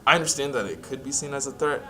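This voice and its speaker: A young man speaking informally and a little haltingly about himself in an interview. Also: mid-range voice, a crowd in the background. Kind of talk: casual personal interview talk. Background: clear background music.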